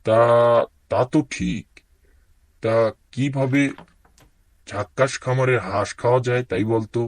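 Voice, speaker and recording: A man voicing a proud, greedy tiger in a cartoon, with a rough, husky, self-important delivery. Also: medium pitch, a little slow, close to an everyday voice. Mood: curious, hungry. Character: gruff, proud, greedy tiger uncle, dim but commanding. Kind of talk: cartoon dubbing.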